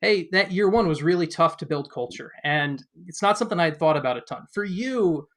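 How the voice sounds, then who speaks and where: A male co-host with a fairly high voice for a man. He talks casually about sports, working his way toward a point in rambling, halting phrases. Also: expressive, fairly bright tone. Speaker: podcast co-host. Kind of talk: casual sports interview chat.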